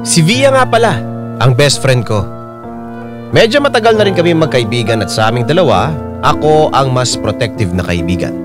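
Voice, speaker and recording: A man's voice: medium pitch, big pitch swings, moderate pace. A man with a Filipino accent narrating a scripted first-person letter for a radio drama. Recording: loud piano music behind. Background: piano music.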